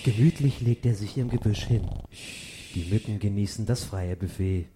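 A man's voice: on the low side, normal speed, noisy background, close to an everyday voice. A man narrating a comic radio play in a scripted, mock-dramatic storytelling manner.

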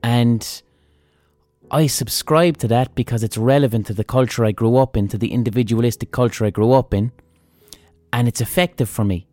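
A man's voice: mid-range voice, moderate pace, a little mellow, no room sound. Blunt, swearing Irish man. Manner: blunt and profane, thinking aloud. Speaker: podcast host. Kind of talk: casual opinion monologue.

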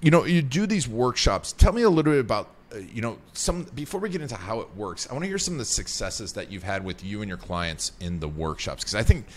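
A man hosting an interview, asking questions in a lively, chatty way. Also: lowish voice, fast, hardly any pauses, a little hiss or hum. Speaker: interview host. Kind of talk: conversational interview.

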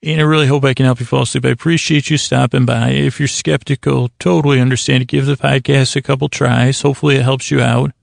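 A man hosting a bedtime podcast in a halting, meandering monologue, repeating himself, with a gravelly voice close to the microphone. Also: mid-range voice, little pitch movement, dry recording. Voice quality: gravelly, creaky. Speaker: podcast host. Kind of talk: rambling bedtime monologue to help listeners sleep.

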